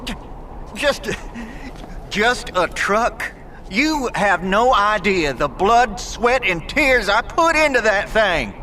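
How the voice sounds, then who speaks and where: An actor playing a gruff, hot-tempered American truck owner in an audio drama, talking in a folksy, indignant way. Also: male voice, high-pitched, very animated, slow, pushed and bright, full character voice. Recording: clear background noise, vehicle ambience.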